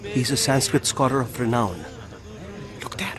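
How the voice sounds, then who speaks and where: An actor playing a respectable, well-informed local gentleman with an Indian accent, explaining formally in a radio drama. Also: mid-range voice, animated, normal speed, over music, natural voice. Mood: confiding.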